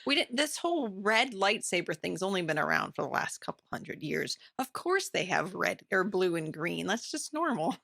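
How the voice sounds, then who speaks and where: A woman's voice: lowish voice, sing-song, normal speed, creaky, hardly any pauses, bright, forceful tone, dry recording, studio quality. A woman co-hosting a podcast, talking in a casual, chatty book club style. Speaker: podcast co-host.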